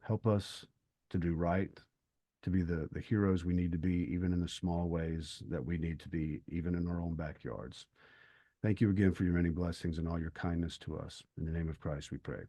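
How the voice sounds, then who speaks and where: A man with a notably deep voice, recorded close and dry. He speaks as a podcast co-host, reflective and earnest, often pausing to find his words. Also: steady tone, warm tone, dry recording. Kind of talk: reflective literary discussion and prayer.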